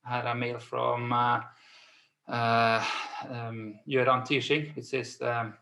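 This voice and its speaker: A middle-aged man with a Scandinavian accent hosting an informal webinar over a video call, speaking in a halting, hesitant way. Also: medium pitch, flat delivery, creaky, bright, forceful tone. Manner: halting, hesitant delivery with repeated phrases. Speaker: host and business manager. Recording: echoey room on video call.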